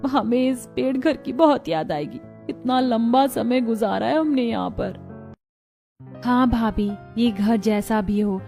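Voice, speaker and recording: A woman's voice: fairly high pitch, measured pace, vocal fry, mellow. A woman voicing worried daughters-in-law in a cartoon family melodrama, earnest and plaintive. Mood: earnest, wistful. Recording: music bed behind the voice.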